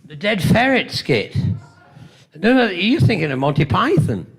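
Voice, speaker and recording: A gravelly older British man spins rambling, playful stories at a convention panel. His voice has a rough edge, and he throws in self-mocking jokes between tales, now and then coughing from a tickle in his throat. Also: high-pitched, big pitch swings, unhurried. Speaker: convention guest actor. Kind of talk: convention Q&A storytelling. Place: convention hall stage.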